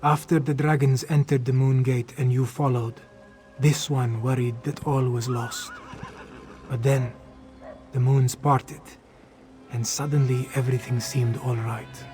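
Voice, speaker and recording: A man's voice: medium pitch, steady tone, a little slow, over music, natural voice. A man voicing a humble, loyal cat-folk warrior in a fantasy game, speaking formally in the third person. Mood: earnest, steady.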